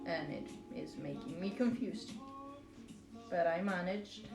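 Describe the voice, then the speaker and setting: Girl streamer chatting, kinda low voice, rambly and playful, music playing in an echoey room. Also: slow. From Norway. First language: Norwegian. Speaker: live streamer. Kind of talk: casual chat with stream viewers while crocheting. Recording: roomy echo with music behind.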